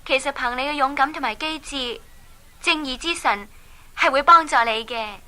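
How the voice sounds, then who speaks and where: An actress with a Hong Kong Cantonese accent playing a plucky, caring young princess in a children's radio drama. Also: female voice, high voice, normal speed, a little hiss or hum. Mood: sincere. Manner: expressive, urging, exclamatory.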